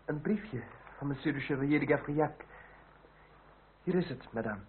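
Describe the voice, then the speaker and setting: An actor playing an earnest, deferential young revolutionary in a Dutch radio drama, courteous and somewhat halting in speech. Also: male voice, a little high, lightly acted. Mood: apologetic, formal. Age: young adult. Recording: tinny, band-limited, hissy old radio recording.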